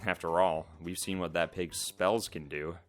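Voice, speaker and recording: A man's voice: low-pitched, fairly even intonation, normal speed, soft background music, close to an everyday voice. A man voicing an earnest, reasonable young male tiger who leads his friends in a storybook narration. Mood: reasoning.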